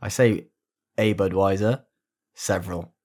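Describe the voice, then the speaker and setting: A man with a slightly low voice co-hosts a podcast. He tells stories in a relaxed, unfiltered way and swears freely. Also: a little slow. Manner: laid-back, sweary storytelling. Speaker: podcast co-host. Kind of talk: casual podcast banter.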